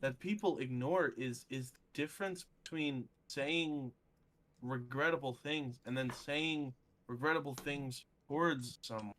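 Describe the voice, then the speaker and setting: A man in a casual, opinionated discussion, speaking haltingly and repeating phrases for emphasis. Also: medium pitch, a bit bright.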